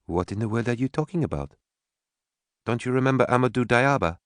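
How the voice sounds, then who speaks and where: Man voicing an eager, persuasive young daughter, pitched up. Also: lively intonation, brisk, no echo, clean studio sound, close to an everyday voice. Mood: insistent.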